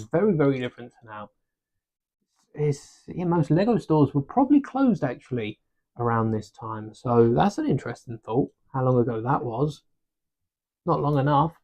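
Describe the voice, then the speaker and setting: Chatty man thinking aloud while building. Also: mid-range voice. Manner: relaxed, chatty, self-deprecating, thinks aloud. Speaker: livestream host building a brick set. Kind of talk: casual live-stream chat. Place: home desk during a livestream. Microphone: desk microphone.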